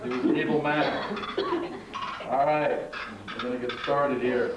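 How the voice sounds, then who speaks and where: A man's voice: mid-range voice, fairly even intonation, unhurried. A man hosting and narrating a live puppet show as a storyteller. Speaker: host and narrator. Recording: very echoey hall, distant mic, clear noise.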